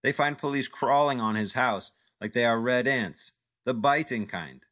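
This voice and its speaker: A man's voice: mid-range voice, moderate pace, fairly bright tone, pitched above the performer's own voice, close to an everyday voice. A man voicing a menacing male crime boss who speaks clipped, broken English.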